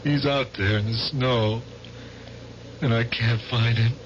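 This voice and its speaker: An actor playing a guilt-haunted, deluded war veteran in an old-time radio drama, halting and repeating himself obsessively. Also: male voice, mid-range voice, fairly even intonation, lightly acted. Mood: anguished, haunted. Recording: muffled noisy radio drama recording.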